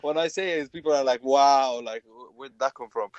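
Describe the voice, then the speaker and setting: A man's voice: mid-range voice, brisk, darkish tone, highs cut off. A young man from Ireland, talking earnestly and conversationally as an interview guest, often searching for his words. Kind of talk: casual interview talk.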